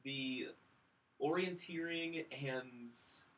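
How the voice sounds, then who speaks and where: A man's voice: medium pitch, slow pace, fairly bright tone, recorded from a distance, poor audio. A young American man, a film student guest chatting casually in a joking, self-deprecating way. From United States, St. Louis area. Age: young adult. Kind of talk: casual chat.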